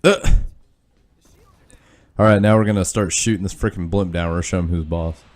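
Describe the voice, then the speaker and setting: A man's voice: low voice, steady tone, moderate pace. A young man with a stuffy, nasal voice, rambling loosely through let's-play commentary with lots of fillers and self-deprecating asides. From Kansas. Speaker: gaming channel host.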